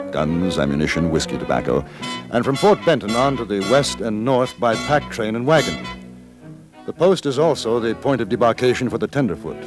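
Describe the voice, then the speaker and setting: A man's voice: fairly low pitch, close to an everyday voice. A man playing a courteous, understated English newspaper correspondent with an English accent in a 1950s radio Western. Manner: polite, understated, wry. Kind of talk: 1950s radio drama acting and scripted narration. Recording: vintage 1950s radio recording.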